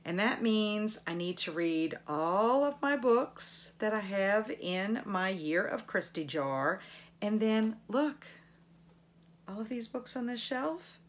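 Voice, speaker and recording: A middle-aged woman hosting a book channel, chatting casually to viewers with enthusiastic, expressive emphasis. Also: mid-range voice, takes it slowly. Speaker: book vlogger and channel host.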